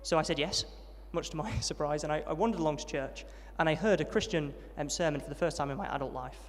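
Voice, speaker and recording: Fast-talking young man in a hall. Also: fairly high pitch, slight background noise. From Yorkshire, England. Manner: friendly, self-deprecating, fast storytelling with many ums. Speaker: interviewee, trainee vicar. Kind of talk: testimony interview at a student event.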